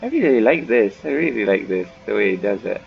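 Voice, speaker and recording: Gravelly, low-voiced man muttering over a game. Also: big pitch swings, fairly quick, soft background music. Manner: laughs easily, swears, mutters to himself. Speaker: gamer playing a horror visual novel. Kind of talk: casual playthrough commentary. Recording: muffled microphone with heavy background noise.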